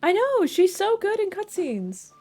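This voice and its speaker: A woman's voice: very high-pitched, very animated, unhurried, darkish tone. A woman livestreaming a video game, chatting casually to her viewers with playful, jokey asides. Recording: game music under the voice. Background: video game music.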